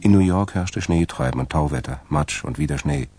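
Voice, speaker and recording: A man with a gravelly voice narrating a German radio crime drama from a script. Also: a bass voice, brisk, darkish tone, dry recording, faint music behind.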